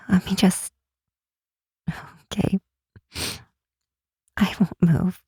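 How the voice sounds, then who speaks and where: A woman playing a timid, self-doubting young fantasy healer in an ASMR roleplay, speaking softly and haltingly in a breathy half-whisper. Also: on the low side, no room sound, studio quality, heavily acted. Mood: comforted, tender. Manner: halting, sighing, unsure delivery. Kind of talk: ASMR roleplay, intimate and hesitant. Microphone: close, dry microphone.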